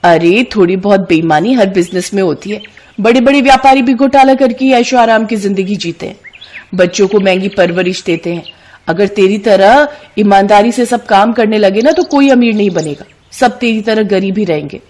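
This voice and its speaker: A woman's voice: mid-range voice, moderate pace, darkish tone, close to an everyday voice. A woman performs a greedy, bossy young city woman for a cartoon moral tale. She scolds and coaxes in a pushy, persuasive way, like a best friend with a scheme. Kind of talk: cartoon moral story dialogue.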